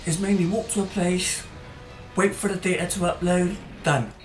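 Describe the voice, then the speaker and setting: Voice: medium pitch, steady tone, moderate pace. A gaming channel host giving casual, rambling commentary over gameplay, full of hesitant fillers and restarts, his voice tiring as he goes. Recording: roomy echo, faint music behind.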